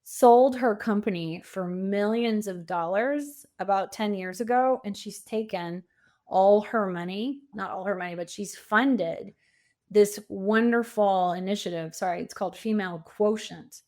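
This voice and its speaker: A woman in her fifties answering as an interview guest, talking conversationally in an animated, encouraging and emphatic way. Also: medium pitch, unhurried. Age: 50s. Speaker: podcast guest, coach and speaker.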